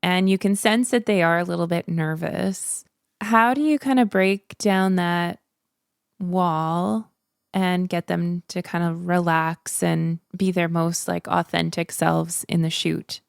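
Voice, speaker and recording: Woman interviewer, low and gravelly, supportive, dry close mic. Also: steady tone, takes it slowly, dry recording. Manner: supportive, frequent short backchannels. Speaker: podcast host. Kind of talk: casual interview.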